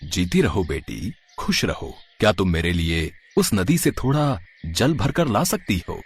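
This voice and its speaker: A man's voice: low voice, very animated, a little slow, slightly rough, heavily acted. A man voicing a kindly, wise old holy man in a moral story, solemn and full of blessings.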